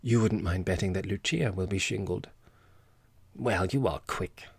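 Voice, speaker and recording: A man voicing a fussy, gossipy, observant gentleman with a precise, confiding manner, in an audiobook. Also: low voice, measured pace, vocal fry, husky, dry recording, full character voice. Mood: curious, admiring. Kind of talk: audiobook character voice.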